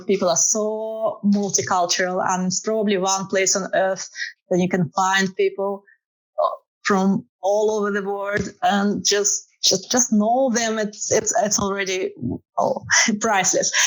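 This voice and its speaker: A woman's voice: mid-range voice, poor audio. Russian-accented woman, hesitant and laughing. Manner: hesitant with many fillers, laughs often. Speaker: photographer guest. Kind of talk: casual interview answers.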